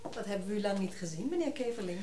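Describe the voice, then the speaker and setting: An actress playing a kindly, solicitous convent nun in a radio drama, speaking politely and attentively. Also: female voice, mid-range voice, animated, quick pace, mellow, natural voice. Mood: welcoming, solicitous. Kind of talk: naturalistic radio drama acting. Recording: echoey room with clear background noise.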